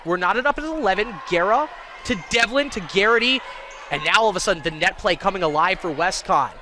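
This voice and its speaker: A man calling live college volleyball play-by-play in an excitable, self-deprecating way. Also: high-pitched, fairly quick. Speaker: play-by-play sports commentator. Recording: clear gym noise, bouncing balls. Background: indoor volleyball match ambience.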